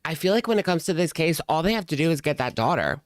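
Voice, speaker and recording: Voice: mid-range voice, big pitch swings, quick pace, dry recording, studio quality. A reaction channel host talking casually in a blunt, mocking and sardonic way while commenting on a video.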